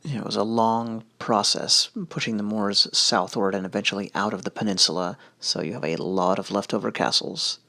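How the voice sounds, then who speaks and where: A lower-pitched man with a gravelly edge and a bright, forward tone narrates in a relaxed, conversational way. He leans on fillers and casual asides, and the voice-over sounds dry and close. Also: fairly quick, hardly any pauses, dry recording. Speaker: history video host. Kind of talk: casual narrated travel commentary. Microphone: voice-over recorded separately.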